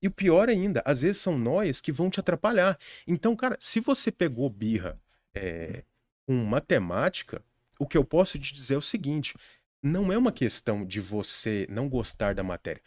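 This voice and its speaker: A man's voice: medium pitch, very animated, normal speed, no echo, studio quality. A young adult man with a Brazilian accent, a podcast guest earnestly sharing study advice in casual conversation, with frequent restarts. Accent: Brazilian Portuguese.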